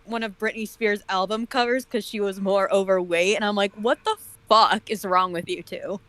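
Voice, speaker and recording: A woman's voice: medium pitch, steady tone, normal speed, fairly bright tone, no echo. A woman chatting casually as a guest on a group stream, bantering bluntly and swearing freely. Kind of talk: casual chat.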